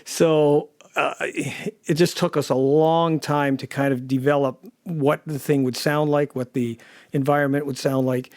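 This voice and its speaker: Higher-voiced man recalling his work, dry sound. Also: little pitch movement, unhurried, a little mellow, no echo. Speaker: audio drama producer and sound designer. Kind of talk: interview recollection.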